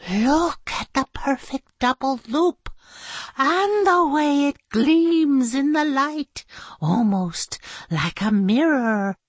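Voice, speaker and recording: A woman's voice: high-pitched, sing-song, very slow, fairly bright tone, no room sound, pitched above the performer's own voice, full character voice. A woman reading a storybook aloud, voicing a stern schoolteacher who is exasperated and sometimes drops to a tense whisper. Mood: admiring. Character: stern woman schoolteacher fussing over paperclips.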